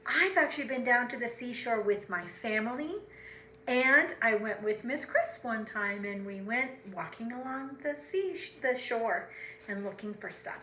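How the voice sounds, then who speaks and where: Female preschool storyteller, fairly high voice, expressive and direct, speaking simply to little kids. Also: unhurried, slight background noise, rough recording. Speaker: preschool teacher reading a storybook. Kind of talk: read-aloud storytelling for young children.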